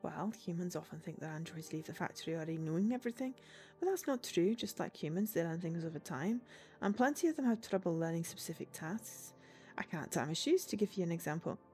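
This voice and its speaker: An earnest, literal-minded female android, voiced by a woman in a cyberpunk game. She explains things plainly in a slightly low voice, with faint music behind. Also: lively intonation, brisk, mellow, close to an everyday voice.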